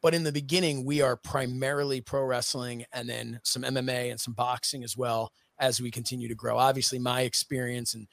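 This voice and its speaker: A man in his late thirties talks in an easy, mid-pitched voice, earnest and chatty as he explains himself at length. The sound is dry and close, with no room around it. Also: level tone, a little fast, creaky, few pauses, a bit bright, dry recording. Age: 30s. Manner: earnest, explains at length with frequent "you know". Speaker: guest, streaming network executive. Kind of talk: casual podcast interview.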